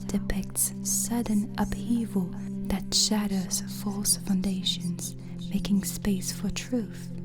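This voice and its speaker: A gentle witch, acted by a woman, whispers in a breathy, soothing voice for an ASMR roleplay. The delivery is slow and deliberate, welcoming a guest with care. Music plays clearly behind the whisper. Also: medium pitch, steady tone, natural voice. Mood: calm, instructive. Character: gentle, hospitable witch hosting a guest overnight.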